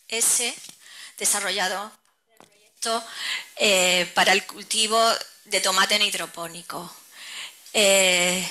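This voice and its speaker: A woman moderates a conference session, introducing the next speaker. Her tone is bright, and she hesitates often, filling pauses as she goes. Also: medium pitch. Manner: hesitant, frequent fillers.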